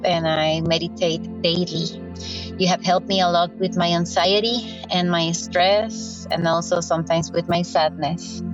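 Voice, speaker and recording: A woman's voice: lowish voice, muffled recording. A woman from Costa Rica leaving a sincere, careful question for an advice podcast. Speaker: caller. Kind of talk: recorded listener question.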